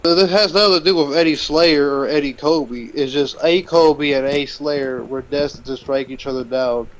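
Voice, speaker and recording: A man's voice: fairly high pitch, moderate pace, poor audio. A man in casual banter during a video game, slipping into mock-prophetic storytelling. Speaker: gamer in a group let's play.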